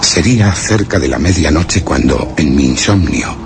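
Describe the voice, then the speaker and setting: Very deep, gravelly, grave expedition survivor. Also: male voice, expressive. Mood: grave, solemn. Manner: measured, formal, ominous. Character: grave male expedition survivor recounting a dreadful journey. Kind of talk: solemn literary horror radio drama narration. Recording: very noisy radio drama recording.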